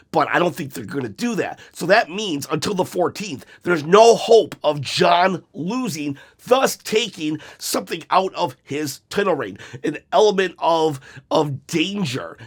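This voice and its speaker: A man hosting a wrestling opinion show, chatting casually with jokey asides, his voice slightly hoarse from being sick. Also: on the high side, a bit bright. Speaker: wrestling commentary host.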